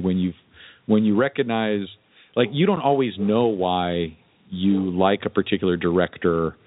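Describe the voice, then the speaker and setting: A man hosting a casual talk show, thinking aloud in a halting way. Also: low voice, dry recording, narrow, tinny audio. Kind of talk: casual chat.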